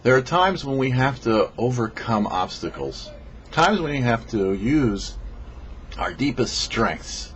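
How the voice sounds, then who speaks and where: A man reading a scripted religious teaching as a rabbi and show host, phrasing deliberately and emphatically with pauses. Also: mid-range voice, very animated, fairly bright tone. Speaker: rabbi, host of a weekly Torah portion show. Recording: dull lo-fi audio with faint noise.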